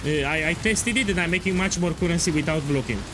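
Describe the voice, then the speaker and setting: A man explains his gameplay choices on stream in a chatty, repetitive way. His voice sits a little high for a man, with clear music playing behind him. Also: quick pace. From Romania. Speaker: game streamer. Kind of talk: casual gameplay commentary.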